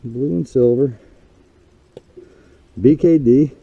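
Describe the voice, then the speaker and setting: A middle-aged man hosting a fishing video, talking in casual, excited banter out on an open boat, picked up by an action camera on the deck. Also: mid-range voice, slow, vocal fry, no echo, highs cut off. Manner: excited, exclaiming, slangy. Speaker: fishing channel host. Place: open boat on a lake, outdoors. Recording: loud wind and water noise.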